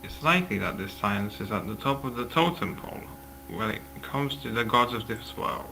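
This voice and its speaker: Low, very bright male narrator. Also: expressive, normal speed, creaky, faint music behind, rough recording. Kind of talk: scripted stage-direction narration.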